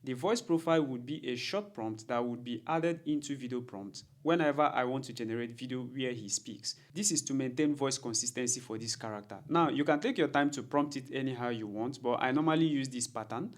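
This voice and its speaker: A man's voice: mid-range voice, fairly quick, hardly any pauses. A man presenting a tutorial, narrating instructions methodically step by step. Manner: methodical, repetitive step-by-step explaining. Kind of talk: instructional screen-recording narration.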